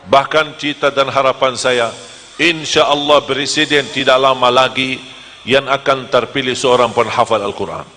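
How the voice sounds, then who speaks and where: A man from Medina, preaching to a live audience in a lively, joking storytelling manner. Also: mid-range voice, moderate pace, big-room sound, a little hiss or hum. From Medina, Saudi Arabia. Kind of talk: humorous sermon to a live audience.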